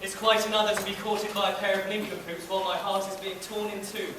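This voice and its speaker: Man playing a high-voiced lovesick maiden, echoing hall. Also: flat delivery, fast, lightly acted. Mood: lovesick, exasperated. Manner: melodramatic, prim complaining. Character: lovesick, exasperated young woman, pantomime daughter. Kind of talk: pantomime stage acting. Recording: noisy echoing hall from far off.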